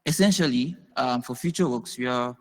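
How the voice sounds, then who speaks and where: A man giving a halting conference talk with many pauses and ums. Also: mid-range voice. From Nigeria. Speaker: researcher presenting. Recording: long reverb of a hall.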